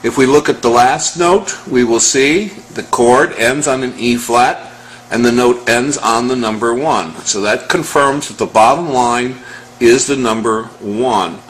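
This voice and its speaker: A man giving a step-by-step music lesson in a deliberate, measured, instructional manner as the teacher. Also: mid-range voice, a bit bright, slight background noise, poor audio. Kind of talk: step-by-step music lesson, calling out scale numbers.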